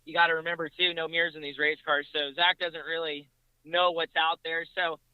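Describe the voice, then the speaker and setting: A man's voice: on the high side, little pitch movement, brisk, smooth, dry recording, dull audio. A man talking casually as a podcast guest, recounting racing details in a conversational way.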